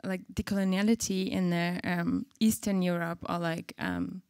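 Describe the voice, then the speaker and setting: A woman's voice: lowish voice, big pitch swings, normal speed, darkish tone, clear, resonant tone, no room sound, studio quality. A woman moderating a panel talk, framing questions with many fillers and restarts. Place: event stage.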